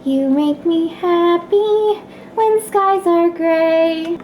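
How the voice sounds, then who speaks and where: Shy giant puppet, woman performing: high, hopeful, plaintive delivery in a roomy recording. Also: drawn-out words, darkish tone, soft background music, exaggerated voice. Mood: tender singing. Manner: plaintive, pleading. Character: timid, hopeful, sweet giant puppet contestant. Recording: echoey room with background noise.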